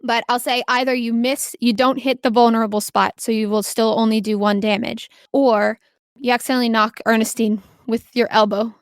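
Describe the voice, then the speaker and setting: A woman with a fairly high voice runs a tabletop role-playing game as game master. She narrates off the cuff in a casual way and encourages her players. The recording is close and dry. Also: fairly even intonation, normal speed, no room sound. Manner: encouraging, affirming players with short praise.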